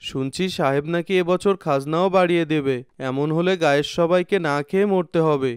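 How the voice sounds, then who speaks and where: A man's voice: on the high side, fairly quick, clean tone, dry recording, studio quality, close to an everyday voice. A man voicing a complaining young village son and older brother in a cartoon. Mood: worried. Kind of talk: cartoon dubbing.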